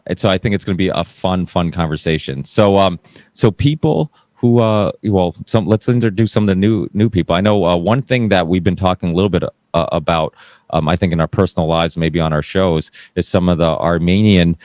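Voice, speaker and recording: A man's voice: sits low, a little mellow, no room sound. A man hosting a live panel talk show in a casual, informal and meandering way.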